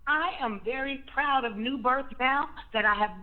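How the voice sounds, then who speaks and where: A hoarse woman calling in to a talk show by phone, giving blunt, indignant opinions. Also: high voice, normal speed. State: hoarse after surgery. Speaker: caller. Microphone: phone call. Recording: muffled phone line.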